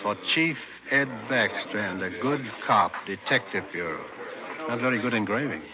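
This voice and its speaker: A man's voice: fairly low pitch, expressive, normal speed, natural voice. An American actor playing a middle-aged veteran policeman, dryly fussy and teasing in offhand short phrases, in a 1950s radio drama. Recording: tinny old radio recording, crowd chatter.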